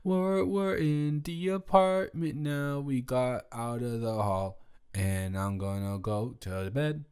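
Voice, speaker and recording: A man's voice: medium pitch, slow pace, a little mellow, smooth, close to an everyday voice. A young man playing a tipsy, goofy college student roommate, rambling and stammering as he talks directly to the listener in an improvised audio roleplay. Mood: confused, mildly hurt. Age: young adult. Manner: rambling, stammering drunken speech with giggles.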